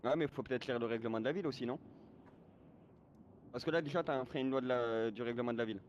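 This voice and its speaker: A man's voice: medium pitch, fast, highs cut off, a little hiss or hum. A man explaining and arguing in an online role-play chat like a moderator, lecturing and often checking that he is understood. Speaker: game server moderator.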